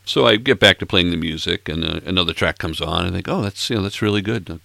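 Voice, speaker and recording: A man in his late sixties hosts a music podcast, chatting casually between tracks with playful, self-mocking asides. His voice sits very low with a gravelly edge and a bright, forceful tone, a little hoarse. The recording is dry and close. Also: fast, dry recording. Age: 60s. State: slightly hoarse, froggy throat.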